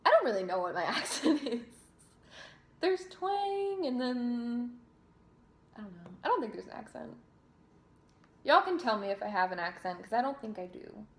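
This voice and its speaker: A woman's voice: a little high, sing-song, normal speed, long pauses. A young woman from New Jersey, a singer, answering viewer questions in a casual, chatty way with fillers and restarts. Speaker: singer and vlogger. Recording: roomy echo.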